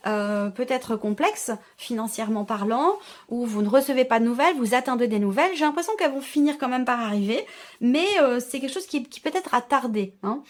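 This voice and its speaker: A woman's voice: a little high, animated, brisk. A woman giving an informal tarot reading to her viewers, reflecting and rephrasing as she interprets the cards. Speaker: tarot reader and channel host.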